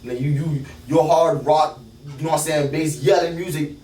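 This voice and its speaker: A man with a South Florida accent, a rapper telling stories in an animated, slangy way in a casual interview chat. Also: on the high side, moderate pace. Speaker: rapper guest. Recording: roomy, mic at a distance.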